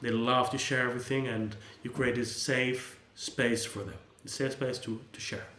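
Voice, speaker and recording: A man whose first language is Dutch speaks in an earnest, conversational way, often restarting his sentences. His voice sits at a middle pitch for a man. The room around him has a noticeable echo. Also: flat delivery, normal speed, pushed and bright. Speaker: psychologist, channel host. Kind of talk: unscripted talk to camera.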